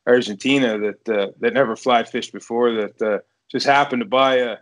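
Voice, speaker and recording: A man's voice: mid-range voice, steady tone, moderate pace, fairly bright tone. Man, casual podcast host. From Canada. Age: around 40. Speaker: podcast host and fishing guide. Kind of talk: casual interview chat. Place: indoor room, remote video call.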